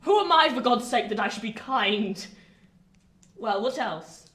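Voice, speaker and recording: Bitter older woman, high voice, swooping pitch, scornful, defiant, radio play, echoey. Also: expressive, a little slow, fairly bright tone.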